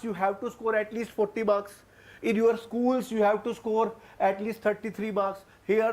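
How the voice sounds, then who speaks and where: High-voiced Indian English male teacher. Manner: explains step by step, repeats phrases, addresses students as guys. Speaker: exam coaching teacher. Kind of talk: online class lecture.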